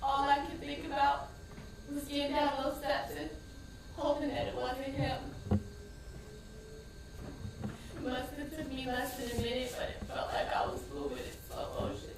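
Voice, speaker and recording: A woman's voice: high voice, steady tone, moderate pace, long pauses, recorded from a distance. An actress playing a grieving, devout woman in an emotional, impassioned dramatic stage monologue. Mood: shaken, recalling. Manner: emotional, impassioned delivery, swinging from hushed to crying out. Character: grieving, pleading, devout woman recounting a shooting. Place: theatre stage, distant microphone. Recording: echoey stage recording from afar, faint noise.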